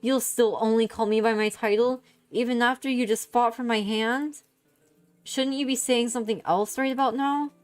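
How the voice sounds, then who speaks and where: Visual novel princess, read by a woman: high, theatrical, adoring voice over light music. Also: fairly even intonation, normal speed, clean tone, lightly acted. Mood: teasing. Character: theatrical, adoring princess in love with her knight. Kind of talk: visual novel character reading. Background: background music.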